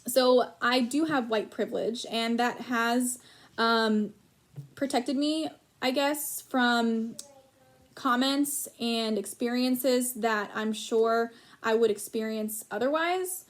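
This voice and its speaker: A woman teacher speaks in a fairly high voice, calm and reflective. She answers interview questions and pauses often with soft ums. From United States.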